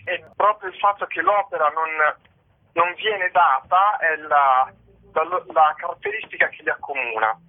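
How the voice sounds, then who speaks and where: A man with a fairly high voice speaks over a narrow, tinny telephone line. He gives an interview about his work, thoughtful and halting, often pausing to find the right word. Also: big pitch swings. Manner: halting, thoughtful, searching for words. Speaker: theatre company co-founder being interviewed. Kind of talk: spoken interview, explaining stage works.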